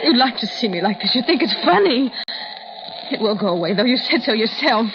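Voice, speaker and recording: A muffled, hissy old radio drama recording. An actress plays a scared, helpless woman with a high, wildly moving voice, faltering and pleading anxiously. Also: lively intonation, fairly quick, natural voice. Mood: wounded defiance. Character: frightened, helpless snow-blinded woman, pleading but defiant.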